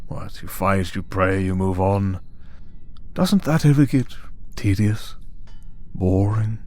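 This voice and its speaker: A man's voice: deep voice, animated, takes it slowly, darkish tone. A man playing an urbane, taunting vampire villain in an audio drama, speaking in a mocking, leisurely, theatrical way. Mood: sardonic, probing. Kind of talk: scripted audio roleplay drama.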